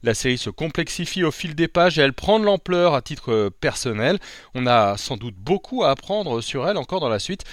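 A man's voice: a little high, big pitch swings, normal speed, no room sound, clean studio sound. A man presenting a scripted podcast review segment, upbeat, with light asides and some hesitation. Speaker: podcast columnist.

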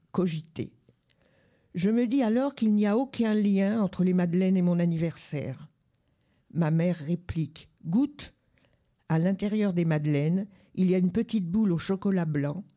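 A woman reading a first-person literary excerpt aloud in a calm, measured way at a library radio event. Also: lowish voice. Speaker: reader at a book club radio show. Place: public library.

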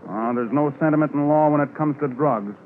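An actor playing a matter-of-fact police officer recounting cases in conversational 1950s radio drama style. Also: male voice, on the high side, fairly quick, narrow, tinny audio, lightly acted. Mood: reflective, firm. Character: male police officer calmly recounting an old case. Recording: noisy band-limited 1950s radio recording.

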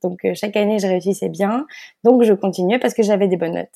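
Mellow-voiced young Belgian woman. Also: mid-range voice, quick pace, creaky. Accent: Belgian French. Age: 20s. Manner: chatty, self-correcting, laughs at herself. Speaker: guest, fashion brand founder. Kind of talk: conversational podcast interview.

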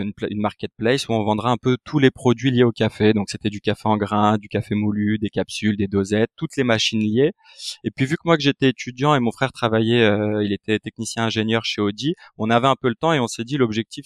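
A man's voice: on the low side, no room sound. Chatty young French man, dry sound. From France. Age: 20s. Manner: relaxed, chatty, many fillers and restarts. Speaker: company co-founder, guest. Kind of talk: casual business interview.

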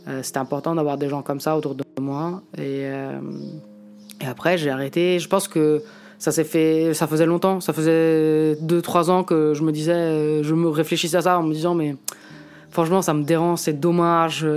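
A man in his late twenties from Italy, talking frankly and reflectively in an interview and often pausing to find his words. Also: on the high side, little pitch movement, darkish tone, clean tone. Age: late 20s. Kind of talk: candid personal testimony.

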